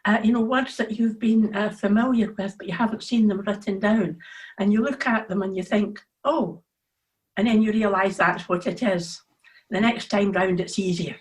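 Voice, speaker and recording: A woman's voice: medium pitch, fairly even intonation, moderate pace, poor audio. Scottish woman author in conversation. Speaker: author being interviewed. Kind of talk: casual interview chat.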